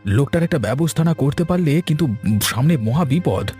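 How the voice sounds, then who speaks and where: A man playing a suspicious but loyal village orchard keeper in a cartoon story, husky and earnest. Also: fairly high pitch, lively intonation, fairly quick, dark tone, soft background music.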